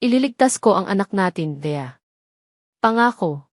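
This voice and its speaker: A woman voicing a steady, determined male surgeon and devoted father in an audio drama, firm and earnest. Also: mid-range voice, normal speed, no room sound, studio quality, natural voice. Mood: resolute, tender.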